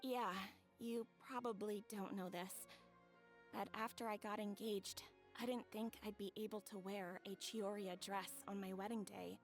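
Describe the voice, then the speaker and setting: A woman playing a gentle, grateful young bride-to-be who tells her story softly and from the heart. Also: mid-range voice, steady tone, measured pace, faint music behind, lightly acted. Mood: wistful.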